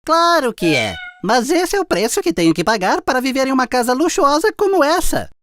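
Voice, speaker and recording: Brazilian woman doing a snooty bossy city mouse guy for a kids cartoon, high voice, super animated. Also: brisk, a little hiss or hum. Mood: matter-of-fact. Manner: boastful, dismissive. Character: boastful male city mouse, bossy and easily alarmed. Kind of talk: children's cartoon character voice.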